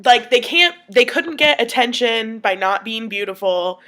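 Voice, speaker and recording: A woman's voice: on the high side, lively intonation, a little slow, a bit bright. A young woman student with a cold, chatting casually and thinking aloud as she records her response for a class. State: sniffly from a cold.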